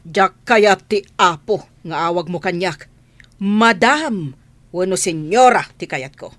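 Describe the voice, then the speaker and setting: A woman's voice: lowish voice, expressive, takes it slowly, a bit bright, dry recording. A Filipino woman playing a haughty, scolding mistress of a mansion in a radio comedy drama. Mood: haughty, bossy. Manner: imperious, scolding. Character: haughty, bossy mansion mistress, mother of a grown son.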